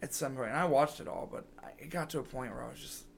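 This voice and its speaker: A man's voice: mid-range voice, fast. A man co-hosting a podcast in casual chat, his voice scratchy from being sick, rambling with lots of "like" and restarts. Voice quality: gravelly. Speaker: podcast co-host.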